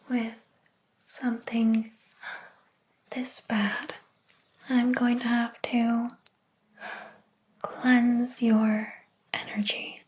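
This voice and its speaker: A woman's voice: a little high, a little mellow, full character voice. Woman acting a jealous, whispering hairdresser. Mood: disdainful, indignant. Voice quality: breathy whisper. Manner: slow, theatrical, wounded and haughty whispering. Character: jealous, possessive hairdresser, obsessed with favorite client. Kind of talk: ASMR roleplay.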